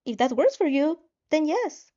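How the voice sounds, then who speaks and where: A woman's voice: sits high, big pitch swings, measured pace, warm tone, lo-fi sound, voice pushed up. A woman voicing a polite, eager teenage boy in a story, tentative but upbeat. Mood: hopeful.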